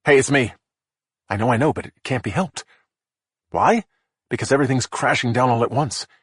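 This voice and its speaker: A man's voice: mid-range voice, moderate pace, slightly rough, no echo, studio quality, voice pushed up, natural voice. A man voicing a stressed middle-aged man who hisses threats and panics on the phone, in a profane, snarling way. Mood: panicked. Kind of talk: audiobook character voice.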